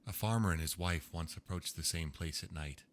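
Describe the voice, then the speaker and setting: A man reading old ghost stories aloud in a slow, hushed storytelling manner. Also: sits low, a little hiss or hum. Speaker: narrator. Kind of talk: scripted ghost story narration.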